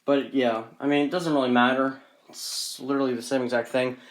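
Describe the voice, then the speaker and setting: A man's voice: medium pitch, little pitch movement, a little fast. A man casually presenting a collection in an off-the-cuff, rambling, matter-of-fact way, recorded on a handheld phone. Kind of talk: casual off-the-cuff show-and-tell.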